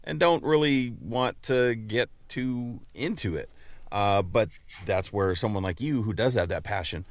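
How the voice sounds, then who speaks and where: A man hosting a podcast in casual chat, talking in a halting way and often repeating words as he explains. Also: fairly low pitch, no room sound. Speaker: podcast host.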